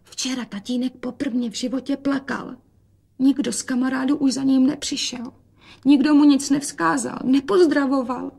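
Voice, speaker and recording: A woman reader plays a fussing mother in a literary story. She calls out with admiration, then drops into secretive, hushed confidences. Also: sits high, steady tone, creaky, husky, pitched above the performer's own voice, heavily acted. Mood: secretive, sad. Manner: exclaims aloud, then confides in hushed tones.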